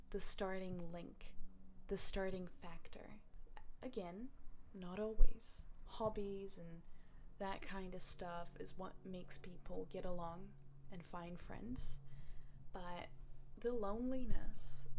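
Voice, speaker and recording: A woman's voice: mid-range voice, darkish tone, a little hiss or hum. A young woman from Russia, talking casually to camera in a reflective, rambling way with many fillers. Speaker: vlogger. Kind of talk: casual personal talk to camera.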